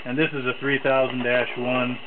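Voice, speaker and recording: The recording is thin and narrowband, with loud radio noise behind the voice. A man with a mid-pitched voice talks casually and bluntly, as a seller showing off old equipment in an unpolished, offhand demonstration. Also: level tone, moderate pace. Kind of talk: casual off-the-cuff product demonstration. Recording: tinny narrowband audio, loud radio static. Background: loud shortwave radio noise.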